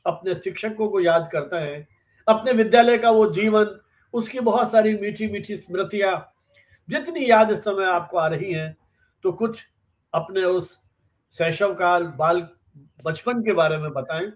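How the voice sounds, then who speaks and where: A man's voice: sits high, a little slow. A man interviewing a guest conversationally, building reflective questions out of short phrases. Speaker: interviewer, professor hosting the conversation.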